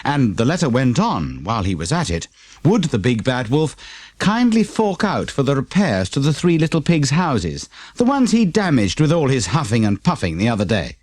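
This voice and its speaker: A man's voice: mid-range voice, sing-song, moderate pace, a little mellow, no echo. A man with a British accent reading a children's story expressively as an audiobook narrator.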